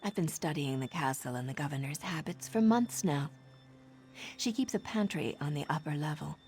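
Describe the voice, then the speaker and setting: A woman's voice: low-pitched, normal speed, darkish tone, soft background music. A woman playing a confident female assassin informant in a video game, with a sardonic, conspiratorial manner. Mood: conspiratorial, instructive. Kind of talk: video game character dialogue.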